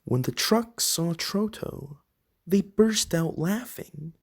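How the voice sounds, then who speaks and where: A reader takes on a friendly moose from the enchanted woods, reading a children's storybook. The delivery is extremely unhurried, with lively rises and falls in a mid-range pitch. It speaks gently and directly to young listeners. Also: vocal fry, slightly rough, exaggerated voice. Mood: friendly, gentle. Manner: slow, deliberate, addressing children directly. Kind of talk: gentle scripted read-aloud for young children.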